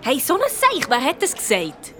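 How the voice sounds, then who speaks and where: An impulsive schoolgirl in a detective radio play. Her voice sits high, with a Swiss German accent. She is blunt and excitable, quick to argue back. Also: faint music behind, exaggerated voice. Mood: outraged. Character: Lisa, impulsive hot-headed schoolgirl detective.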